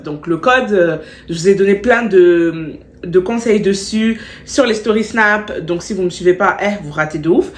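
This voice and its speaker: Young woman vlogger with a cold, echoey room. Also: mid-range voice. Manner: animated, slangy, repeats words for emphasis. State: stuffy, still has a cold. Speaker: beauty vlogger. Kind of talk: chatty storytime vlog with advice.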